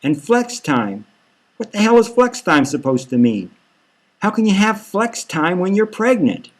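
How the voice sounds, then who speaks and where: A man's voice: high-pitched, very animated, a little slow, full character voice. A man voicing a blunt, complaining beauty-queen surrogate mother in a satirical audiobook, incredulous and challenging. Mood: indignant. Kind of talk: satirical audiobook character reading.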